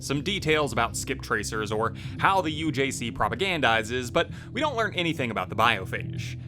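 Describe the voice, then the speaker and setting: A man hosting a video, delivering scripted review narration into a close voice-over mic. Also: mid-range voice. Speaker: host, game reviewer. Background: faint music.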